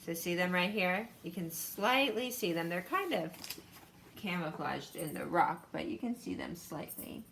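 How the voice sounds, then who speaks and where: A woman's voice: lowish voice, lively intonation, unhurried, vocal fry, a bit bright, a little hiss or hum. A woman teacher reading a picture book aloud to children and explaining it in an instructive way, addressing them directly.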